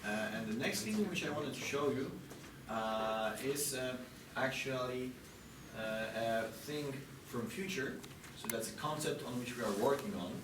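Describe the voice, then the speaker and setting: A man demonstrates a product live to listeners, pausing often and filling gaps as he searches for words. His voice reaches a distant microphone in an echoey indoor room, with clear noise behind him. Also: mid-range voice. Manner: hesitant, halting delivery with many long filler pauses.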